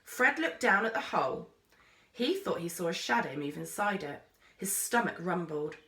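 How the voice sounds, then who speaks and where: A woman reading a children's story aloud with expressive narration. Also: on the low side, moderate pace. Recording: roomy, echoey sound.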